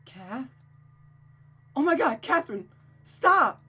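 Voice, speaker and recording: Woman playing an anxious, high-voiced young woman. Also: big pitch swings, takes it slowly, bright, forceful tone, slightly rough, full character voice. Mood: alarmed, urgent. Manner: worried, urgent. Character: anxious, caring young woman, pleading with her friend.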